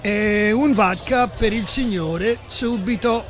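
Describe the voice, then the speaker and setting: High-voiced, courtly barman. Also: measured pace. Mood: obliging. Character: attentive male Italian hotel barman. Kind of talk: radio drama acting. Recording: loud music underneath.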